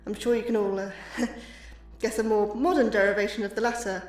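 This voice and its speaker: A woman's voice: mid-range voice, flat delivery, fairly quick, close to an everyday voice. A woman playing an enthusiastic but anxious astrobiologist giving an academic lecture in an audio drama, earnest and slightly awkward. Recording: echoey, distant hall with music.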